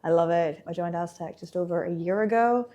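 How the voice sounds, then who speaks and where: A woman from Ireland with an Irish accent tinged with American, speaking as a developer relations engineer in a conference interview, enthusiastic and quick to laugh. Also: on the low side, warm tone, muffled recording. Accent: Irish with some American. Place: conference venue.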